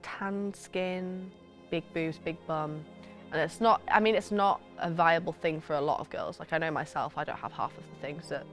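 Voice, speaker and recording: A woman's voice: sits low, normal speed, faint music behind. A woman in a documentary interview, speaking frankly and earnestly.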